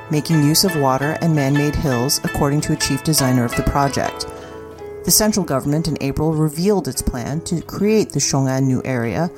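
A woman reading a scripted news roundup as a podcast newsreader. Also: sits low. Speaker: news reader. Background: music bed.

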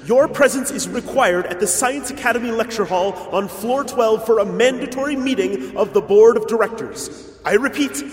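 A man's voice: very high voice, moderate pace, reverberant, long reverb tail. A man playing a formal, dutiful security aide to corporate directors in an audio drama, announcing deferentially. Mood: official, urgent.

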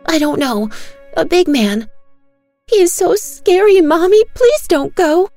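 A woman voicing a terrified little girl who cries and pleads in a high, trembling voice. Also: lively intonation, unhurried, darkish tone, no room sound, faint music behind. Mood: frightened.

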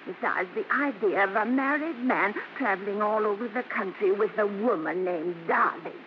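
An actress playing a bossy, warm-hearted old grandmother who scolds in folksy phrases, in old-time radio acting style. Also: mid-range voice, normal speed, lightly acted. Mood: exasperated, bossy. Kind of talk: 1940s-50s radio acting. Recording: muffled, noisy old radio recording.

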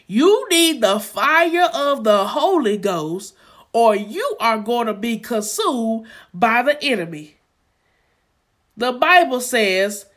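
A woman's voice: medium pitch, fairly bright tone. Exhorting woman preaching on a podcast. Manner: exhorting, repeats phrases for emphasis. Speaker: podcast co-host. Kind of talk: preaching-style faith podcast talk.

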